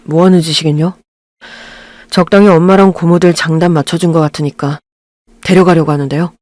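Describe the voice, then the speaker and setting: Woman acting young husband, low, cold, bitter, dry. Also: fairly even intonation, a little fast, a little mellow, no echo, natural voice. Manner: cold, clipped, resentful. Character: angry young husband defending his wife.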